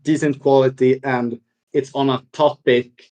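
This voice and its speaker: A man's voice: mid-range voice, level tone, unhurried, a little mellow, roomy sound. A man hosting a casual online interview, asking his guest questions and reading questions from the audience. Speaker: host.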